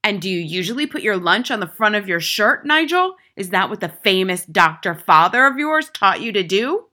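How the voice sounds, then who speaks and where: A woman's voice: medium pitch, big pitch swings, normal speed, sharp tone, pitched above the performer's own voice. A woman reading a storybook, voicing a gigantic tyrannical headmistress who barks contemptuous insults. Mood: furious scorn. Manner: barking, bellowing insults.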